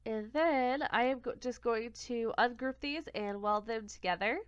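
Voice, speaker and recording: A young woman with a cold-congested voice talks through a craft software tutorial step by step, sometimes catching and correcting her own slips. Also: a little high, normal speed, clear, resonant tone, dry recording. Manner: step-by-step, self-correcting, plain and friendly. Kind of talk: screen-recorded software tutorial. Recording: dull, lo-fi home recording.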